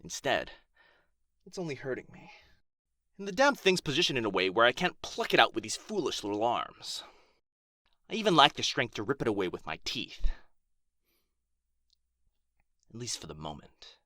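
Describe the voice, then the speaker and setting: A man acting a proud, wounded, menacing dragon in an ASMR roleplay, taunting theatrically with drawn-out words and whispers. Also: medium pitch, big pitch swings, pushed and bright, no echo, clean studio sound. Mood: amused, pained. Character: proud, menacing, wounded male dragon guarding his gold.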